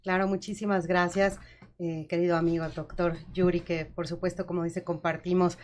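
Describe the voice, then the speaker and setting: A woman's voice: lowish voice, flat delivery, moderate pace, darkish tone, a little hiss or hum. A woman, a judge and academic speaking formally and courteously as a panel guest, laying out her career methodically. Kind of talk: panel talk.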